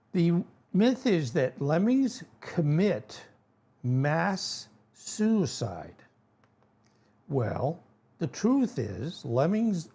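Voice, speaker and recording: Older Rhode Island man in his 70s, folksy rambling host, slow, gravelly and mellow. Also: fairly high pitch. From New England. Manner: rambling, folksy, frequent fillers and self-corrections. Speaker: channel host. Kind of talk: casual educational talk to camera.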